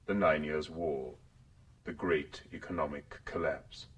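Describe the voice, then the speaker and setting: A grave world ruler delivering propaganda in a radio drama. The voice is extremely deep and husky, oratorical and measured, heard at a distance from the mic. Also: male voice, fairly even intonation, heavily acted. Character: grave, authoritative world controller lecturing on history.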